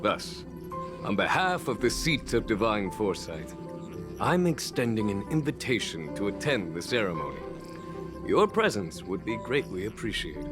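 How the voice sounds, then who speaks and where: A dignified general from a game, speaking in a formal, stately manner. His lowish voice rises and falls a great deal, with game music clearly under it. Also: big pitch swings, normal speed. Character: dignified male general extending a formal invitation. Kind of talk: game voice acting.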